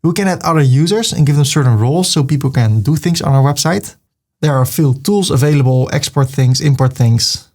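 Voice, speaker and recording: A man from the Netherlands, calmly narrating a step-by-step software tutorial and describing each click as he makes it. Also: on the high side, fairly quick. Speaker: web design tutor. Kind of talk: screen-recorded software tutorial.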